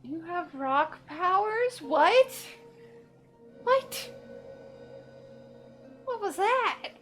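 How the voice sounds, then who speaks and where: A woman streamer commentates casually on a game in a high voice. She takes her time and keeps up a wry, joking manner. Faint game music sits behind her. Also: sing-song, drawn-out words, a bit bright. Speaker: gaming streamer.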